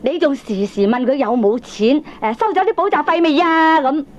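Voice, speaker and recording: Woman acting a high-pitched, scolding Cantonese wife. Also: normal speed, natural voice. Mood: reproachful. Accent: Hong Kong Cantonese. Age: middle-aged. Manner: scolding, sarcastic. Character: nagging middle-aged gambling wife, sharp-tongued and scornful. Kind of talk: 1980s Hong Kong comedy radio drama acting. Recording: dull old cassette radio drama recording.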